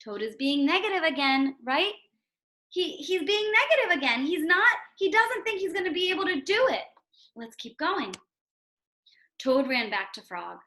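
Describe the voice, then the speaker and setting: A woman's voice: very high-pitched, very animated, moderate pace, bright, forceful tone, reverberant. A woman teaching young children in a read-aloud lesson, instructional, repeating key points and checking understanding. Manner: instructional, repetitive emphasis, checks with "right?" and "okay?". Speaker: elementary school teacher.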